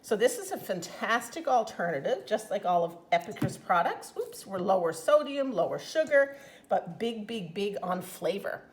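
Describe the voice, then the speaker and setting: A woman's voice: mid-range voice, very animated, moderate pace, a little hiss or hum. A woman with a Newfoundland accent, chatting upbeat through a home cooking demonstration in her kitchen. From Newfoundland, Canada. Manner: chatty, upbeat, enthusiastic about flavor. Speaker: cooking product consultant.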